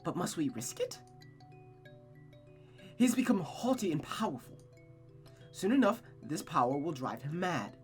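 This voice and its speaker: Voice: medium pitch, big pitch swings, unhurried, pauses often, soft background music. A performer voicing a cold, manipulative mother who coaxes and dominates her child with silky endearments in a visual novel. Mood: menacing.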